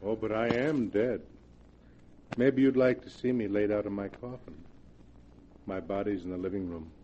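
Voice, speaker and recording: An actor playing a murdered husband's ghost in 1940s radio style, measured, mock-polite and calmly reproachful. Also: male voice, lowish voice, lightly acted. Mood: calm, macabre. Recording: dull, noisy vintage radio recording.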